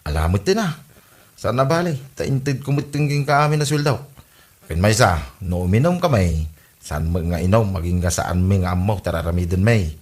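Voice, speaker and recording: An actor with an Ilocano accent playing an easygoing, bored village husband in a comedy drama podcast. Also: male voice, sits low, lively intonation, moderate pace, a little mellow. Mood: complaining.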